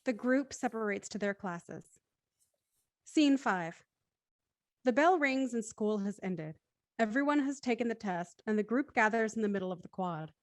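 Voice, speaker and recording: A woman's voice: fairly high pitch, lively intonation, moderate pace, no echo, studio quality. A woman narrating scripted stage directions for a drama.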